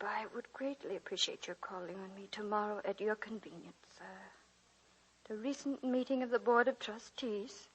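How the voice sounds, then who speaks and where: An actress playing an elderly woman college teacher, a reflective and wry great-aunt, in gentle, theatrical 1940s radio drama style. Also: medium pitch, husky, close to an everyday voice, rough recording. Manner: reminiscing, gentle, theatrical delivery. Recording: hissy 1940s radio broadcast recording.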